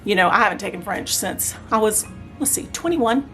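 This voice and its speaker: A woman hosting a craft review vlog, chatty and enthusiastic, talking straight to her viewers while she is hoarse and losing her voice. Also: mid-range voice, animated, normal speed, a bit bright, soft background music. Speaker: craft channel host.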